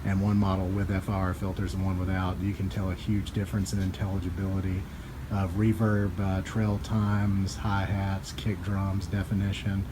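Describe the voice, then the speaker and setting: A man with a fairly low voice talks through audio equipment in a casual, conversational way. He explains things informally, like a dealer showing gear to customers. There is clear noise behind him throughout. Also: flat delivery, moderate pace. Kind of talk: casual product demonstration.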